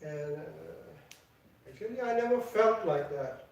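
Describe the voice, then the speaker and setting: Older man, slow halting delivery, repeats words when restarting, echoey hall, distant mic. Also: on the high side, animated, creaky, lo-fi sound. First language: Danish. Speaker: devotee giving an offering speech. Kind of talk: personal tribute speech. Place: temple hall.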